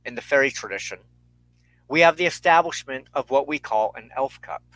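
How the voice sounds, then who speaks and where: A man hosting a spiritual talk in a solemn, sermon-like style, speaking in measured, formal and ceremonious phrases. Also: mid-range voice, lively intonation, a bit bright, poor audio. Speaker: host of a weekly spiritual talk show.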